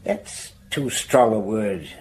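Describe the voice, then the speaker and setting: A haughty middle-aged man of business in a radio drama. The low voice rises and falls sharply, with stiff, clipped, evasive phrasing over audible background noise. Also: expressive, slow pace, a little mellow. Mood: reserved. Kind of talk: BBC radio drama acting.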